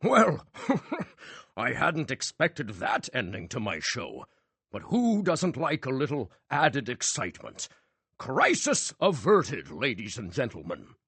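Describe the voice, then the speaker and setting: A man voicing a showy male stage magician with a grand, drawn-out showman delivery. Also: medium pitch, huge pitch swings, fairly bright tone, husky, no echo, pitched above the performer's own voice, heavily acted. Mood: smug.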